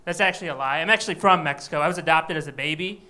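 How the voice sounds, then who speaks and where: Bright high-voiced male stand-up comic. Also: racing. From Michigan, USA. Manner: wry, chatty crowd banter. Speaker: stand-up comedian. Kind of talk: live stand-up set. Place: comedy club stage.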